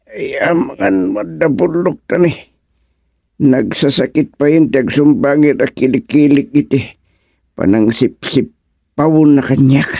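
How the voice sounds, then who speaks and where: A man's voice: medium pitch, unhurried, vocal fry, mellow, no echo, studio quality. A man playing a grumbling, plain-spoken village man in a radio comedy-drama, with an Ilocano accent and an exclamatory delivery.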